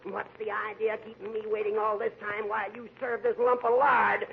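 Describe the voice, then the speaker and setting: An actor playing a fussy, dyspeptic, peevish train passenger in a 1940s radio comedy. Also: male voice, high-pitched, little pitch movement, a little fast, gravelly. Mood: outraged. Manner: peevish, snappish, querulous. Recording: muffled, hissy 1940s radio broadcast.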